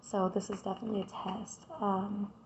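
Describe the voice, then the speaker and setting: A woman, hoarse from a cold, doing a casual tarot reading and chatting straight to her viewers. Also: mid-range voice, little pitch movement, moderate pace, background noise. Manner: chatty, addresses viewers directly with repeated "right?". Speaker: tarot reader.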